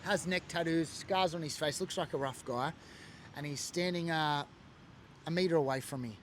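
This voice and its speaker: A man's voice: on the high side, moderate pace, a little hiss or hum. An Australian man from Sydney, telling a personal story in an earnest, emotional way with lots of fillers. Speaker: former biker sharing his story. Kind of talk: personal testimony monologue.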